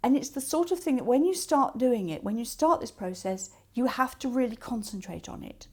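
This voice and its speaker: A woman's voice: a little high, animated, moderate pace, dark tone, slightly rough. A woman speaking as a coach, giving practical advice step by step with illustrative examples. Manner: explains step by step with acted-out example remarks. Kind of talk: instructional talk with practical tips and examples.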